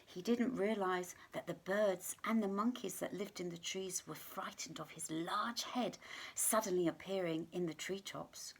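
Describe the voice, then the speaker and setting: A woman reading a children's story aloud in an expressive, deliberate storytelling manner, sometimes hushed. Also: lowish voice, vocal fry, slightly rough. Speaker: teacher reading a picture book to children.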